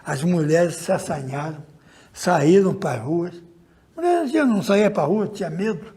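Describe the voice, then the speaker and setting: A Brazilian man with a rough, husky voice recalling things spontaneously, as in an interview. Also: high-pitched, animated, normal speed, warm tone. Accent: Brazilian Portuguese.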